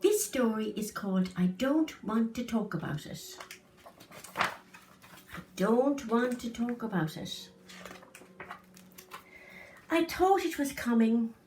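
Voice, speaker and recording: High-voiced woman reading slowly. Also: animated, slight background noise. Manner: slow, dramatic reading with hushed and emphatic phrases. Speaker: story reader. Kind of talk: expressive children's storytelling.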